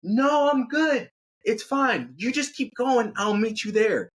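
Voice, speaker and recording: A man acts out a self-absorbed woman hiker in a told story, pitched well up high. She sounds cutesy, breezy and entitled, making demands as if they were nothing. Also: lively intonation, slow, full character voice. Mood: breezy, dismissive.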